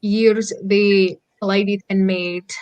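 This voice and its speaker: A woman's voice: medium pitch, flat delivery, takes it slowly, a little mellow, clear, resonant tone, lo-fi sound. Scottish woman, native Gaelic speaker, cheerful guest, laughs easily, keen to explain words. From Scotland. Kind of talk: casual video call chat.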